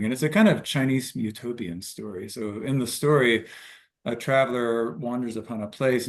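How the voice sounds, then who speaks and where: Low-pitched man introducing his poem. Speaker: poet and college professor. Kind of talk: informal explanatory talk before a recital.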